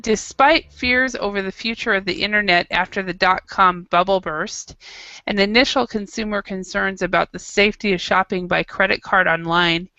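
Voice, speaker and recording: A woman teacher runs a quiz with her class and reads an article aloud, chatty and encouraging. Her tone is bright with a gravelly fry. The recording is dry and a little muffled. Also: medium pitch, moderate pace, no room sound. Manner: chatty, encouraging, laughs easily. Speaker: English teacher.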